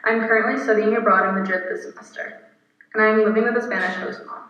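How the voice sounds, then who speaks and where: A woman in her twenties, a guest speaker reading a prepared speech at an earnest, steady pace, recorded on video in her apartment. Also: mid-range voice, fast. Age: 20s. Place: apartment room. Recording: echoey, distant, muffled video recording.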